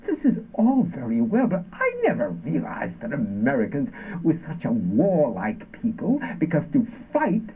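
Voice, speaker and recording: A man acting a brooding, sardonic ranter in an avant-garde stage monologue, halting and deliberate, with dramatic shifts of emphasis. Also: medium pitch, wildly swooping pitch, husky, full character voice. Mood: mocking, insistent. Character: brooding, sardonic ranter delivering absurdist anti-war poetic monologue. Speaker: stage actor. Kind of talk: theatrical monologue from an avant-garde radio play adapted for stage. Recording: dull, noisy lo-fi stage recording.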